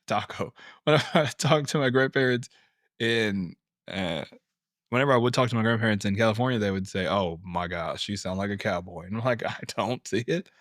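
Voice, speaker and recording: A young adult man co-hosting a casual podcast chat, animated, swearing casually and laughing often. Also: on the low side, a little fast, no room sound, clean studio sound. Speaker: podcast co-host.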